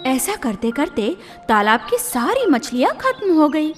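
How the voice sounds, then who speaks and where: High-pitched woman narrating children's stories. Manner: deliberate, expressive storytelling. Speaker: storyteller. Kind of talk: scripted children's story narration. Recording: long reverb, music behind.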